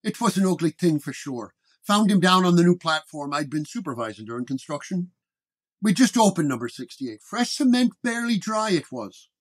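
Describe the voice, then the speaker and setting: A man voicing a hot-tempered, beefy policeman, gruff and indignant with folksy turns of phrase, in a radio horror drama. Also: fairly high pitch, big pitch swings, moderate pace, voice pushed up. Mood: grim. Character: florid, hot-tempered beefy Irish-American transit policeman.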